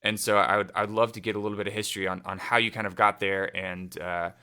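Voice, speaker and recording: A man with a low but bright, forceful voice hosts a casual livestream chat. He asks long, rambling questions with eager energy. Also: flat delivery, fast talker, clear, resonant tone. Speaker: livestream host. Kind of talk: casual interview hosting.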